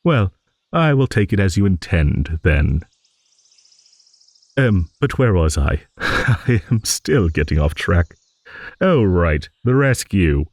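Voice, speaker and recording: A man's voice: low voice, very animated, takes it slowly, pauses often, no room sound, exaggerated voice. A man voicing a rambling old dragon, genial, wistful and a little vain, in an improvised fantasy audio monologue. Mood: amused musing. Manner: rambling, musing, with hums and soft chuckles.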